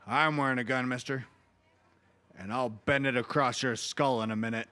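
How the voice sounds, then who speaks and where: A student actor playing a stern, watchful Old West marshal in an amateur radio play, terse and world-weary. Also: male voice, mid-range voice, fairly even intonation, normal speed, sharp tone, natural voice. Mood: commanding.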